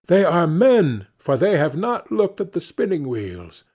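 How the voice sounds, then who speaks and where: Man reading as an emphatic, expressive storybook king. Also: a little high, measured pace, breathy edge, pitched above the performer's own voice, heavily acted. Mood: accusing. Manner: emphatic, declarative. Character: storybook king, adult man, accusing yet warm-hearted.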